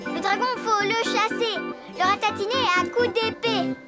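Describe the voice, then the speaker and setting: A bold, stubborn little elephant girl from a children's cartoon, with a very high, childlike voice. She is feisty and theatrical when she plays pretend. Bright background music plays behind her. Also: steady tone, normal speed. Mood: fierce. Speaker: main cartoon character. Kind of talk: animated series voice acting.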